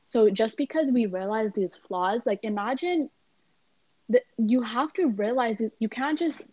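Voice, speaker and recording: A woman's voice: a little high, measured pace, warm tone, no echo. Young female student in casual chat, filler-heavy, recorded dull and dry. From Canada. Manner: chatty, frequent "like" and "you know" fillers. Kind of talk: casual conversation.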